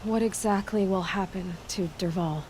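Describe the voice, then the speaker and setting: An actress playing a young huntress heroine in a video game cutscene, speaking naturally in a direct, plain-spoken way. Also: female voice, medium pitch, normal speed, a little mellow, a little hiss or hum, natural voice. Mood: careful, curious.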